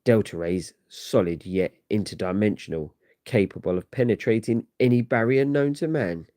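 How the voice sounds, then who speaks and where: Low, mellow man narrating dramatically. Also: normal speed. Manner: theatrical, grandiose. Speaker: narrator of a comic read-along. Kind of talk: dramatic scripted storytelling narration.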